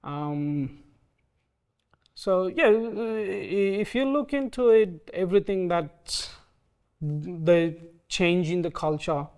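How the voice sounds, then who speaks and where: A man in his thirties from Nepal with a Nepali accent, speaking hesitantly with many pauses in an oral history interview. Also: sits high, clean tone. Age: 30s. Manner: soft-spoken, hesitant, frequent ums.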